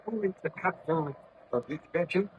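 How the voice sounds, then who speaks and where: An actor playing a weak, driven baron in an old radio drama, speaking in formal, archaic phrases with anguish. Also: male voice, on the high side, normal speed, slight background noise, lightly acted. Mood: weak, urgent. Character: weak, driven baron rescued from the Arctic ice. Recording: dull old radio recording.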